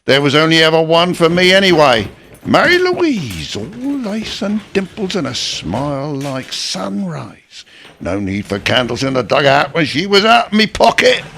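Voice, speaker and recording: A working-class old soldier in a radio play, with a swooping, animated delivery and a bright, forceful edge. He is a gruff but chirpy father who talks bluntly and likes to tease. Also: a little high, a little slow, heavily acted. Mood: wistful. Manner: blunt, teasing, colloquial.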